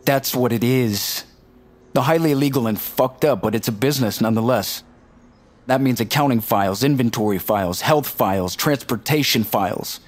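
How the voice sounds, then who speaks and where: An actor playing an eager, cocky, talkative tech strategist in a naturalistic audio drama. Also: male voice, mid-range voice, normal speed, lightly acted. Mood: confident. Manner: talkative, boastful, informal. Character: eager, cocky, nerdy male tech strategist, chatty roommate.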